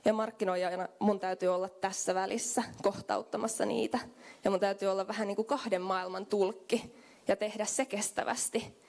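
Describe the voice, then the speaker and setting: Gravelly-voiced woman giving a keynote. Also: medium pitch, steady tone, darkish tone. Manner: conversational, thinks aloud with fillers. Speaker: keynote speaker. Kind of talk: conference keynote talk. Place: hotel conference room with small audience. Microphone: handheld microphone.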